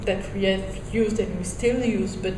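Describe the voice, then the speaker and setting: A middle-aged woman from Belgium, giving an interview in English and often pausing to search for words. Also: medium pitch, fairly even intonation. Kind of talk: casual interview. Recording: echoey room with faint noise.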